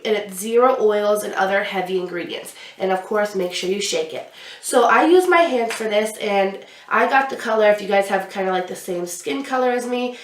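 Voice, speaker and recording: A young woman with a stuffy nose from a cold, chatting casually through a makeup tutorial while she applies products. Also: fairly high pitch. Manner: chatty, explaining each step while applying makeup. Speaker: beauty vlogger. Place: small indoor room with a glittery backdrop. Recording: roomy sound, somewhat far from the mic.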